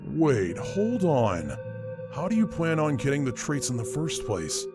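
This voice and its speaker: A man playing a principled, soft-hearted monster king in a comic dub, with a solemn, emphatic, regal delivery. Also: on the high side, wildly swooping pitch, a little slow. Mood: doubtful. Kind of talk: dramatic comic dub acting. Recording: music behind voice.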